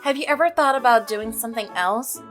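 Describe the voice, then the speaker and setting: A woman's voice: a little high, fairly quick, fairly bright tone, faint music behind, lightly acted. A woman voicing a polite, inquisitive young female neighbor who narrates a visual novel. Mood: polite, curious.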